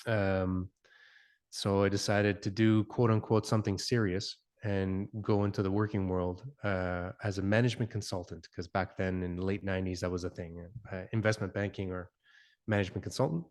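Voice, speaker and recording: A man's voice: low-pitched, level tone, normal speed, darkish tone. A man in his forties, an interview guest raised in Canada whose first language is Italian, speaking reflectively with many fillers and restarts. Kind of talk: conversational interview.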